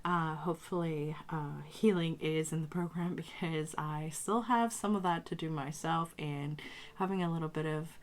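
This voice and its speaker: A woman recovering from a cold with a strained voice, speaking haltingly as a tarot reader talking casually to her viewers. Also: deep voice, a little hiss or hum. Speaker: tarot reader and channel host. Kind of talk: casual spiritual talk to camera.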